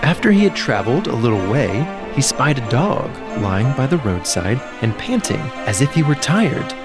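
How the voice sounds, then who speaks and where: A male storyteller reads a scripted fairy tale with an animated, varied melody. His pitch sits in the middle for a man, and music plays behind him. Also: normal speed.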